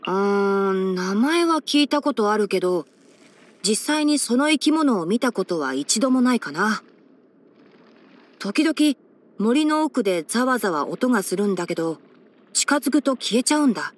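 A woman voicing a boyish young male fox-eared forest ranger and scholar in an anime game, instructive and frank with a gently teasing manner. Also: mid-range voice, very animated, normal speed. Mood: reflective. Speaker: character voice actor. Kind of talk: anime game character voice lines.

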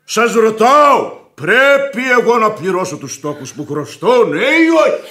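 Blustering, high-pitched angry gentleman. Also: expressive. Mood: demanding, angry. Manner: declamatory, bellowing, sarcastic. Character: blustering, hot-tempered middle-aged gentleman creditor, scornful of women. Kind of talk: theatrical radio play acting.

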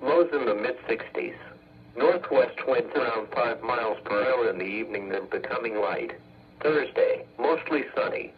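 Robot-made man voice reading the forecast on weather radio, measured phrases, kinda dull lo-fi sound. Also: mid-range voice. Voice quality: synthetic text-to-speech voice. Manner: measured, formulaic, segmented phrases. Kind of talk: automated weather bulletin reading. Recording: muffled weather radio broadcast.

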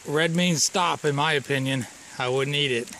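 A man's voice: a little high, moderate pace, sharp tone. Wry man vlogging outdoors, noisy audio. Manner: offhand, wry, chatty asides. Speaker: travel vlogger. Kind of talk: casual narration while hiking and driving. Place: outdoors on forest trails and a campground in the rain.

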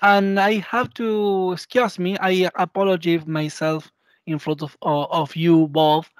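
Halting Argentinian man, dry close sound. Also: high voice, little pitch movement, dry recording. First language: Spanish. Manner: halting, searching for words in non-native English. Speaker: podcast guest, professor of Persian. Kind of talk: interview conversation. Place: indoor room, video call.

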